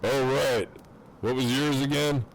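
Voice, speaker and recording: A man's voice: medium pitch, fairly even intonation, a little slow, background noise, natural voice. A man playing a cocky, crude prisoner who teases in wry, swaggering banter in an audio drama. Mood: teasing. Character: cocky, crude, teasing imprisoned man on a walkie-talkie. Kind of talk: fan audio drama acting.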